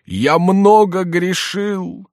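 A man's voice: fairly high pitch, expressive, moderate pace, dry recording, studio quality, pitched above the performer's own voice. A man voicing a sly, mocking French court jester in a theatrical, exclamatory audiobook read. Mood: mock-plaintive. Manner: theatrical, exclamatory, mock-plaintive.

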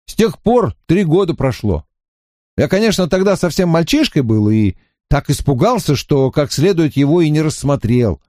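An eager young wizard student, talkative and fervent, his voice lifted bright and high over a lively, swinging melody, as a male reader puts him on. The tone underneath stays mellow, and the recording is close and dry, with no room around it. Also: normal speed, no room sound. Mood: recalling. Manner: earnest, fervent, slightly mocking.

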